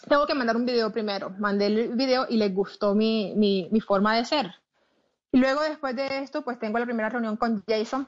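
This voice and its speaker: A woman from Colombia with a Caribbean coastal accent, a plus-size model being interviewed, telling her story with animated restarts and English words mixed in. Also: on the high side. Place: her home in the Netherlands.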